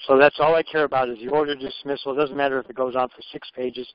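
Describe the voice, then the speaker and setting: Male call participant, medium pitch, casual and conversational, heard on dry, muffled phone conference audio. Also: flat delivery, fast, smooth, dry recording. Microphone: phone conference line.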